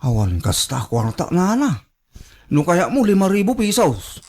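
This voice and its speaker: A hesitant, reluctant young man next door with a Filipino accent acted by a man for radio drama. His pitch swings dramatically as he talks. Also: wildly swooping pitch, measured pace, a little mellow, heavily acted. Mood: wary haggling. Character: hesitant, reluctant young male neighbour short of cash. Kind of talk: Ilocano radio drama acting.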